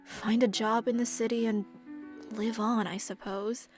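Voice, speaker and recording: A woman playing a gentle, resigned widowed mother and caregiver in a game dub, speaking in a subdued, reflective way. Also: fairly high pitch, level tone, unhurried, soft background music, natural voice.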